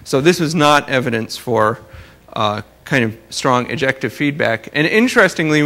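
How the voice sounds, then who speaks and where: A man giving an academic conference talk as a researcher, halting and rephrasing as he explains his slides. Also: medium pitch, vocal fry, bright, forceful tone. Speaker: astrophysics researcher presenting at a conference.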